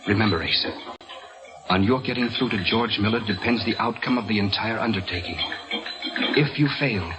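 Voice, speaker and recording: An actor playing a resolute, stern colonial militia leader, commanding and formal, in an old-time radio drama. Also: male voice, on the low side, normal speed, lightly acted.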